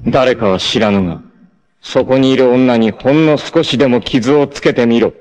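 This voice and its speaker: A man's voice: mid-range voice, moderate pace, a little mellow, lightly acted, poor audio. A man voicing a proud, stoic young male martial artist who is dying, in 1980s TV anime style, speaking in grave, solemn declarations with sudden anguished outbursts. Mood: commanding. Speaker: voice actor. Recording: old TV anime audio with hiss.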